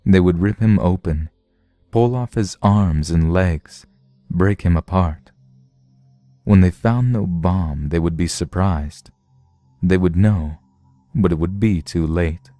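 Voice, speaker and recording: A man's voice: low-pitched, mellow, no echo. A man narrating a science fiction story from a script. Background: music.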